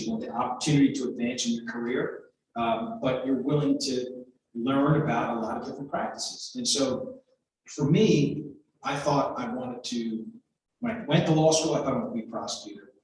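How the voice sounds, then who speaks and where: A middle-aged man, a trial lawyer on a career panel, talking in a relaxed way with fillers. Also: medium pitch, a little hiss or hum. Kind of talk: panel answer. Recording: echoey room, voice far from mic.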